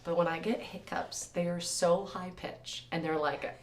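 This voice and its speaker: Raspy-voiced woman in a roomy echo. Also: measured pace, slight background noise. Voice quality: raspy, naturally deeper voice. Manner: chatty, laughs often, animated retelling. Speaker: podcast host. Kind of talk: casual chat. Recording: roomy echo, mic at a distance.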